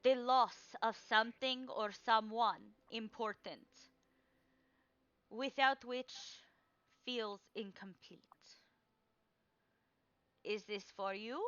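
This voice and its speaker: A woman voicing an ominous old fortune-teller in a tabletop game, speaking in dramatic, halting pronouncements. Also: fairly high pitch, steady tone, a bit bright, clear, resonant tone, no room sound, lo-fi sound. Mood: grave, questioning. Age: older. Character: cryptic old woman fortune-telling seer, ominous and theatrical.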